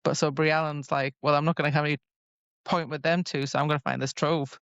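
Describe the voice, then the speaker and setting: A woman's voice: low voice, little pitch movement, fairly quick, no echo, lo-fi sound. A woman co-hosting a podcast in a casual book discussion, retelling things animatedly with mock quotes. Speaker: podcast co-host.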